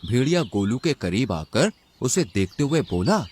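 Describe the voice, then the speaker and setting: A man's voice: mid-range voice, big pitch swings, a little slow, darkish tone, a little hiss or hum. A man narrating a scripted children's story as the storyteller.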